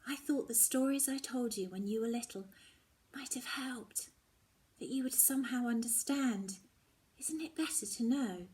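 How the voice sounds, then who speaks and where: A woman voicing a gentle, earnest mother who speaks in a soft, breathy, soothing and hushed manner in a storybook reading. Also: fairly high pitch, moderate pace, voice pushed up, close to an everyday voice. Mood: soft, pleading. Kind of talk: dramatic children's novel reading.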